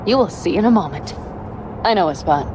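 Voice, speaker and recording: An actress playing a tough young woman mercenary in a video game, brisk, practical and wry. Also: on the low side, expressive, close to an everyday voice. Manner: brisk, practical, wry, casually foul-mouthed. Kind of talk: video game cutscene dialogue, naturalistic. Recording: clear vehicle and ambience noise behind.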